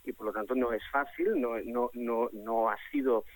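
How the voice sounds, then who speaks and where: A young adult man from Catalonia, answering interview questions in an animated, joking way, repeating himself and restarting his sentences. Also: mid-range voice, normal speed, low-quality recording. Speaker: guest, comedian behind a satirical superhero character. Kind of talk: casual interview talk.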